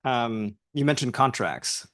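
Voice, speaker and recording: A man's voice: medium pitch, slow, fairly bright tone. A man guiding a panel discussion at an industry forum. He poses questions in a curious, mildly wry way and hands the floor to the next speaker. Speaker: panel moderator.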